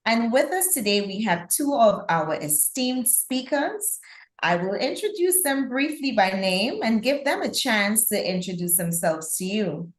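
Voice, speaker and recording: A woman's voice: fairly high pitch, expressive, a little slow, echoey room. A woman hosting a live interview, courteous and chatty. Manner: courteous and chatty, light laughter. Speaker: host and court official.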